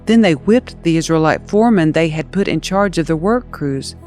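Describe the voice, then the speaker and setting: Woman doing audio bible narration, expressive n measured, music behind her. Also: sits low. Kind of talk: scripted scripture narration, dramatized reading.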